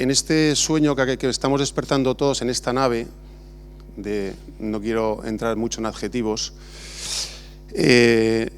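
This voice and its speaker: A man's voice: medium pitch, smooth. A middle-aged man from Spain, an architect, talking informally at an awards ceremony with many restarts and fillers. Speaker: architect giving an award acceptance talk. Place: large exhibition hall.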